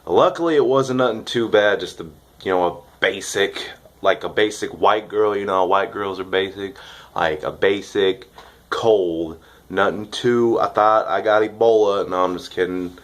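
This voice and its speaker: Young man, congested from a cold, lowish voice, rambling, upbeat, filler-heavy vlog chat. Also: moderate pace. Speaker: vlogger reviewing dip tobacco.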